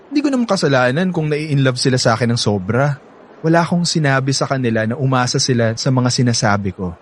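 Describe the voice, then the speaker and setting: Man acting cocky young playboy Percy, mid-pitched. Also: very animated, slight background noise, close to an everyday voice. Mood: nonchalant. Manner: teasing, smug, drawn-out playful delivery. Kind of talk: radio drama acting.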